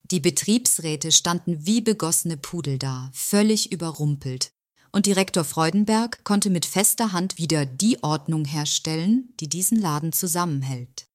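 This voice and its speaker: Low-voiced smug schemer played by a woman. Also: no echo, clean studio sound. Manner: self-satisfied, feigned innocence, sly. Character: smug, scheming female confidante of a company director. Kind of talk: audio drama monologue, confessional narration.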